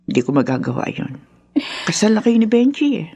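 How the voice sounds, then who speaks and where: A woman's voice: mid-range voice, big pitch swings, a little fast, vocal fry, warm tone, husky, full character voice. A woman playing a doting, protective grandmother in a radio drama. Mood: gentle, consoling.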